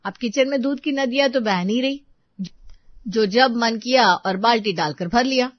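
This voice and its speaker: A woman's voice: fairly high pitch, normal speed, no echo, dull audio, natural voice. A woman voicing an exasperated, thrifty young housewife who explains and protests in a family moral story. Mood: protesting. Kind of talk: Hindi moral-story character dialogue.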